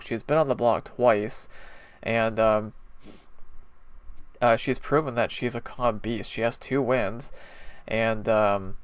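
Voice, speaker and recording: A man talking through a TV episode review in a relaxed, meandering way, with a smooth, resonant voice and a slightly stuffy nose. His delivery is full of pauses and small fillers, and the sound is dry and close, with no room around it. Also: mid-range voice, dry recording. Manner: chatty, rambling, frequent pauses and fillers. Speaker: TV show reviewer. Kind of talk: casual spoken review.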